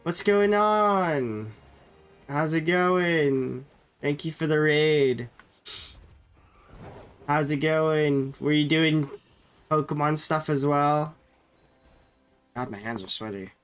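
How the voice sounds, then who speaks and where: A man's voice: a little high, slow, pauses often, smooth, soft background music. A man streaming a game while sick with a cold, sounding nasal and chatting loosely with viewers, often correcting himself. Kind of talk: casual live-stream chat.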